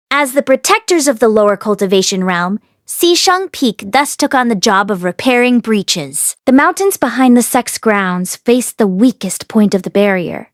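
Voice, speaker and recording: A woman reading a fantasy novel aloud as an audiobook narrator, in expressive scripted storytelling. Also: fairly high pitch, dry recording, studio quality. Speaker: audiobook reader and read-along host.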